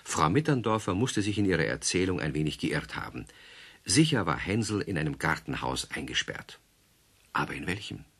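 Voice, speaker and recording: A man narrating a children's radio play in a lively storytelling manner. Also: low voice, normal speed, husky.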